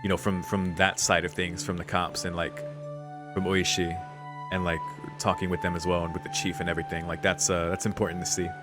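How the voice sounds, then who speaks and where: A man's voice: sits low, flat delivery, fairly quick. A man doing casual let's play commentary in a laid-back, slangy way. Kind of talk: casual gaming commentary, reading subtitles aloud. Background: game music.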